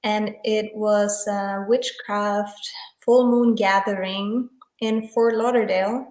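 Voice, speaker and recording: German-speaking woman, echoey flat voice. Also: mid-range voice, slow. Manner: hesitant, many fillers and restarts. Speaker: guest, tarot deck creator and photographer. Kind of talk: casual interview chat.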